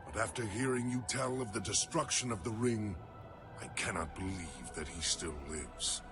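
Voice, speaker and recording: A man's voice: fairly low pitch, close to an everyday voice. A man voicing a loyal alien warrior in a game cutscene, solemn, formal and resolute yet mournful. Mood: grave. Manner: solemn, formal, measured phrasing. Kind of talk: dramatic game cutscene acting. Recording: loud music underneath.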